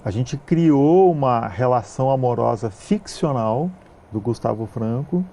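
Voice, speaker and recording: Gaúcho man, mellow measured speech. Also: medium pitch, animated. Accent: gaúcho Brazilian Portuguese. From southern Brazil (Rio Grande do Sul). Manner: measured, hesitant with frequent fillers. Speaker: film producer, interview guest. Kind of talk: explanatory interview answers.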